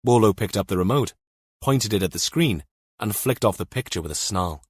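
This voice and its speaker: A man with a fairly low voice narrates a mystery thriller. His scripted delivery is measured, and the recording is very dry, with hardly any room sound. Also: animated, breathy edge, no echo. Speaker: audiobook narrator.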